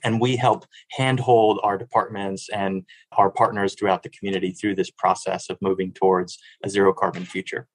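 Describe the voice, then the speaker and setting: A man's voice: deep voice, little pitch movement, normal speed, few pauses, no echo, poor audio. A man in his thirties from Miami, a city sustainability director answering interview questions in an earnest, fluent way with small restarts. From Miami, Florida.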